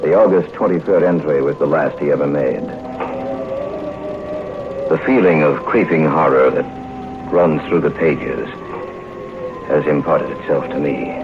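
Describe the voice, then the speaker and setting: An actor playing a haunted, brooding man in a vintage horror radio play, speaking slowly and haltingly. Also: rumbling low, music in the background. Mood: creeping dread. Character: haunted man narrating a dread-filled manuscript tale. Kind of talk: 1950s horror radio acting. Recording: muffled, very noisy vintage radio recording.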